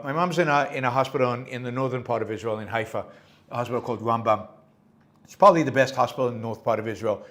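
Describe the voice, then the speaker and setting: Emphatic middle-aged man hosting a show. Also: mid-range voice. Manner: emphatic, repeats words for stress, many fillers and restarts. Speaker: podcast host. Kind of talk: unscripted solo commentary.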